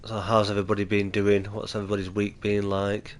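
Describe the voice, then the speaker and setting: A man's voice: monotone, fast. A man hosting a casual gaming livestream, nasal and stuffy from a cold, chatting easily with viewers. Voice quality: low-key, chatty and easygoing. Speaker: livestream host.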